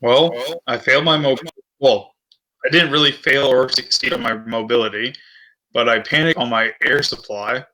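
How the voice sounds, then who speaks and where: A man's voice: medium pitch, normal speed, bright, forceful tone, poor audio. A man chatting casually as a player in a tabletop role-playing game.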